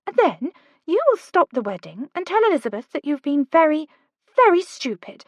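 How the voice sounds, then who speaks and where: A woman voicing Kate, a spirited young woman urging her friend to act, in a higher, coaxing voice. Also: big pitch swings, moderate pace, no room sound. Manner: urgent, coaxing.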